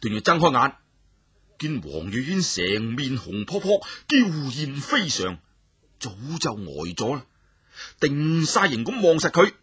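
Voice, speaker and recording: A man telling a traditional story with expressive, animated narration. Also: medium pitch, measured pace, slightly rough, no echo, studio quality.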